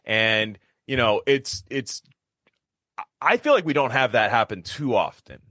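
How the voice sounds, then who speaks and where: Male podcast co-host, forceful bright tone, very dry close sound, blunt casual rants with swearing. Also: mid-range voice, expressive, unhurried, no echo. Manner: blunt, profane, slangy. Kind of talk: casual conversational rant.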